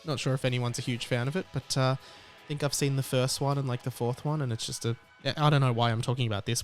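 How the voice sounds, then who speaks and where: A young Australian man hosting a podcast, talking in a casual, chatty and emphatic way on his own. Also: medium pitch. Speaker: podcast host. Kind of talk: casual solo podcast monologue.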